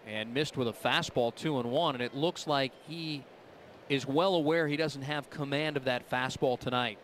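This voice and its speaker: A man's voice: medium pitch, normal speed, a bit bright, slight background noise. A man calling a baseball game live as the play-by-play announcer.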